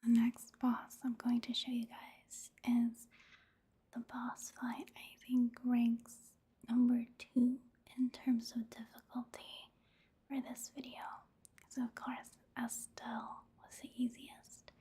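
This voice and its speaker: A woman giving soft-spoken, whispered ASMR commentary over video game play, in a gentle, casual and unhurried manner. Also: on the high side, completely flat delivery, husky.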